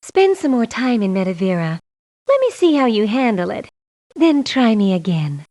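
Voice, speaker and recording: A woman's voice: a little high, very animated, normal speed, warm tone. An actress playing a sassy, blunt young woman mercenary in a video game, delivering her lines in a curt, theatrical way. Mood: dismissive. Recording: muffled lo-fi game audio clips.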